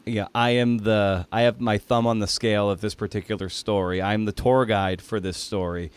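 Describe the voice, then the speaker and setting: A man hosting a casual interview podcast, talking in a thoughtful, halting way with trailing asides. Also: lowish voice, steady tone, clear, resonant tone. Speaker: podcast host interviewing a writer.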